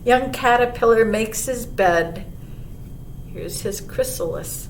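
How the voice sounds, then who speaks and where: Slow-paced woman reading, roomy distant recording. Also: vocal fry. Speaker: librarian reading a children's storybook aloud. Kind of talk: expressive read-aloud narration for young children. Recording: roomy, distant mic, faint rumbling noise.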